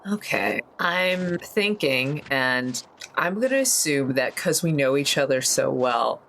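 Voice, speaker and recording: A woman's voice: a bass voice, lively intonation, takes it slowly, a bit bright, slight background noise. A woman playing a tabletop roleplaying game, chatting casually as she talks through her character's moves. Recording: music behind the voice.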